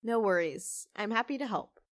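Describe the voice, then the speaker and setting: An actress playing a kind, apologetic half-human cafe co-owner in a fiction podcast, gentle and hesitant in manner. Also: female voice, medium pitch, animated, normal speed, natural voice. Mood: polite, calm.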